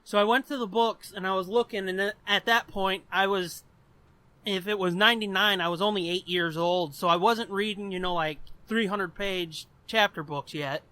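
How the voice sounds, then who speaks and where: A man's voice: high voice, fairly even intonation, moderate pace, pushed and bright, clean tone, dry recording. A man in his twenties telling a story on a podcast in a rambling, casual way, swearing often. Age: 20s. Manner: profane and rambling. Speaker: podcast co-host. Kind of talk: casual chat.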